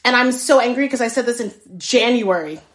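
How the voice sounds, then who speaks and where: Woman, slightly high pitch, casual video talk, anxious, urgent, lots of fillers. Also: brisk.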